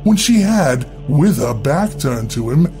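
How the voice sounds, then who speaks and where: A man narrating a scripted horror story in a deliberate, theatrical, dramatic way. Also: a little high, very animated, dark tone. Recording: music bed under the voice. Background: music.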